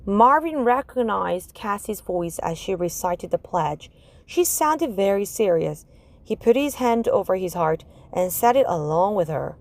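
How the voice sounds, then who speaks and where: A woman's voice: medium pitch, expressive, a little slow, darkish tone. A woman narrating a children's chapter book as a read-aloud. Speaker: storybook reader.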